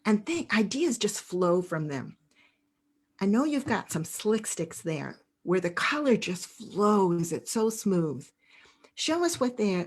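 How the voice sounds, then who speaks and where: A woman hosting an educational presentation for teachers, speaking in an encouraging, expressive way. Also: medium pitch. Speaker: host.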